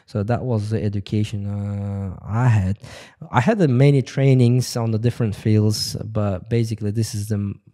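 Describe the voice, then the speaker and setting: A middle-aged man from Uzbekistan, a guest in a podcast studio, talking about his career with many fillers and restarts on a close boom mic. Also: fairly even intonation, normal speed, hardly any pauses, dark tone. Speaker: guest, testing center head. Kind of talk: interview.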